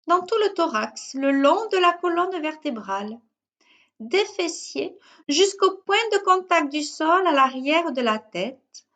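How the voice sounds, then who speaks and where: Very slow-paced woman guiding relaxation. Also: high-pitched, fairly bright tone. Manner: measured, instructional phrasing with pauses between short phrases. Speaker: yoga teacher guiding a relaxation session. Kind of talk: guided yoga nidra meditation.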